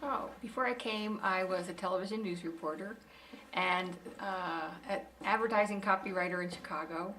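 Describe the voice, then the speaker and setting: A woman giving an articulate, steady account in an interview. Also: mid-range voice, moderate pace, a little hiss or hum, low-quality recording. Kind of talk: casual interview answer.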